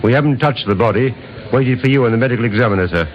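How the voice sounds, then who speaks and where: A man's voice: medium pitch, very fast, soft background music, lightly acted. An actor playing an efficient, respectful policeman giving clipped reports in an old radio crime drama. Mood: brisk, respectful. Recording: dull old radio recording, background chatter.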